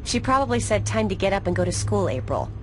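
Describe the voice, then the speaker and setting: Actress as sassy, very animated young student friend. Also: female voice, mid-range voice, fast, slight background noise, lightly acted, poor audio. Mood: mocking amusement. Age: young adult. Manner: sassy, teasing. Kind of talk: video game voice acting.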